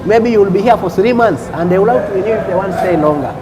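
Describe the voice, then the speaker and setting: High-voiced man explaining customs rules. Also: a crowd in the background, poor audio. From Uganda. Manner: deliberate, repeats points, checks with "okay?". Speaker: customs official guest.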